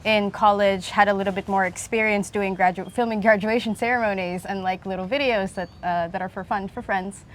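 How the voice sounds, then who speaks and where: A soft-spoken woman in her thirties from the Philippines answers questions about herself, her voice trailing off quietly. She sits at an open-air food stall with a lapel mic, faint street noise behind her. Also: medium pitch, steady tone, fairly quick, hardly any pauses. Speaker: guest, video maker. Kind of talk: casual interview answers.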